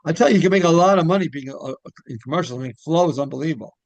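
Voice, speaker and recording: A man's voice: a little high, rapid-fire, darkish tone, no room sound. A man hosting an interview show, asking loose, rambling questions in a casual way.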